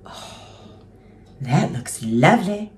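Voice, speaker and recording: A woman's voice: lowish voice, big pitch swings, slow pace, darkish tone, a little hiss or hum. A woman playing a chatty, giddy housewife and mother of seven who gushes and talks to herself while putting on makeup. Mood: gushing. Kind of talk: comic character monologue.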